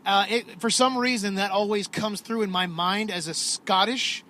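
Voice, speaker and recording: A man's voice: high voice, steady tone, normal speed, pushed and bright, slight background noise. A man co-hosting a podcast while driving, moving from a mock-announcer intro into dry, teasing banter. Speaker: podcast co-host. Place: inside a car.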